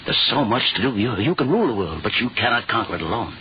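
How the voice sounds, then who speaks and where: A man's voice: fairly low pitch, sing-song, quick pace. An actor playing a fervent, vengeful henchman hungry for power, in intense old-time radio style. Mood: pleading, fervent. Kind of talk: 1940s radio acting. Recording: muffled, noisy old radio broadcast.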